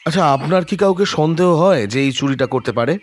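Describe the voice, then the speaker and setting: A man playing a calm, shrewd detective in an audio drama, measured and musing as he quietly probes. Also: on the high side, a little hiss or hum, lightly acted. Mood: probing. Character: calm, shrewd male detective, probing and thoughtful.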